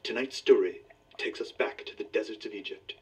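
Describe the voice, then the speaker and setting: An actor playing a grandiose magician detective in an old radio serial, declaiming theatrically with drawn-out words. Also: male voice, lowish voice, a little mellow, slightly rough, far from the mic. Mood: ominous, mysterious. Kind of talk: 1940s radio serial acting.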